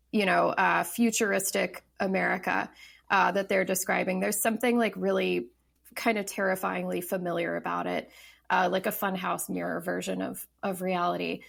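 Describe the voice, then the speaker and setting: A woman's voice: mid-range voice, gravelly. A woman in her thirties, a novelist talking casually as a podcast guest, thoughtful, with many fillers and restarts. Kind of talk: casual interview talk.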